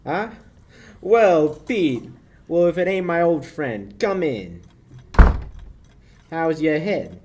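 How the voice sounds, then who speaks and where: A young man acts out a slick, menacing male crook in 1940s radio style. His fairly high voice swings widely as he sneers and wisecracks his way through the lines. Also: animated, takes it slowly, pauses often, darkish tone, a little hiss or hum. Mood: jovial. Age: young adult. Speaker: high school actor. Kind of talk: 1940s radio play acting.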